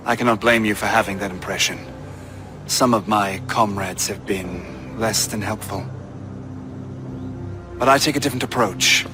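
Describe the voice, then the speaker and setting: Actor as a courteous, formal army colonel. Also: male voice, on the low side, music in the background, lightly acted. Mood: conciliatory. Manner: formal, measured, gentlemanly. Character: courteous, high-minded male colonial-era army colonel. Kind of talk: dramatic game cutscene dialogue.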